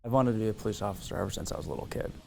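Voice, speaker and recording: A man's voice: fairly low pitch, rapid-fire, gravelly, dark tone. A man from a Chicago suburb, a police academy recruit, answering interview questions. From Riverside, Illinois.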